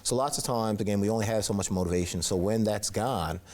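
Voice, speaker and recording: A man's voice: lowish voice, fast, darkish tone. A man, a personal trainer interviewed in a TV studio, giving encouraging advice with lots of fillers.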